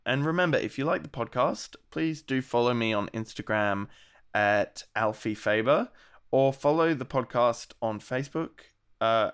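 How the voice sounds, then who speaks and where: An Australian man hosting a podcast interview, friendly and chatty, his voice gravelly from being sick. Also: medium pitch, fairly bright tone. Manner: friendly, chatty, many ums. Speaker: podcast host.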